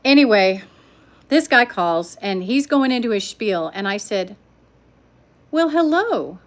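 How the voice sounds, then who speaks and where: A woman's voice: medium pitch, sing-song, measured pace. An older woman telling stories at home on a livestream, chatting with viewers and laughing often. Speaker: livestream storyteller. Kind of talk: casual livestream chat. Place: home living room.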